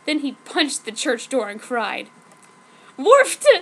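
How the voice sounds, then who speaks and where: A woman's voice: on the high side, measured pace, pushed and bright. A woman narrating fan fiction in a theatrical, mock-dramatic reading style. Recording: clearly noisy home recording.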